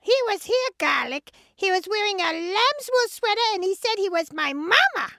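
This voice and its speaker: A woman voicing a prim, excitable storybook sheep housewife, melodramatic and easily indignant. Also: moderate pace, no echo, clean studio sound, heavily acted. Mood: pleading. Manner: indignant, melodramatic, shrill when alarmed.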